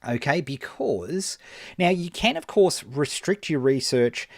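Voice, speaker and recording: A man answering viewer questions on a live stream as a marketing coach, animated and emphatic, often restarting his sentences. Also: fairly high pitch, slow pace, gravelly, no echo, clean studio sound. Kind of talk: live-stream Q&A, casual explaining.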